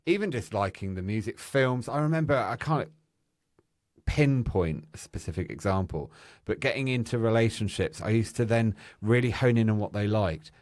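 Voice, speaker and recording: A loud, exuberant and flamboyant man, the podcast's co-host, talking candidly in casual chat on a studio mic. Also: fairly low pitch, lively intonation, normal speed, no room sound.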